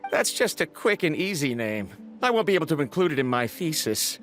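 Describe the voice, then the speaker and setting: Nerdy young scholar in a game, high voice that swoops around, lecturing, pedantic. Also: male voice, sing-song, fairly quick, a bit bright, faint music behind. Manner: earnest, precise, lecturing. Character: eager, pedantic young male scholar researching ancient legends. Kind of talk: video game voice acting.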